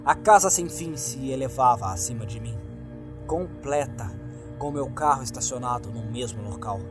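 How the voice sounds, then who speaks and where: A man's voice: medium pitch, animated, moderate pace, over music. A man with a Brazilian accent reads a scripted horror story in a dramatic, suspenseful manner. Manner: suspenseful, acts out the lines.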